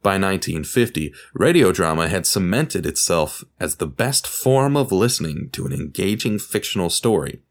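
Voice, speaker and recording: A man narrating a scripted documentary podcast, telling it like a story with deliberate dramatic emphasis. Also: low voice, very animated.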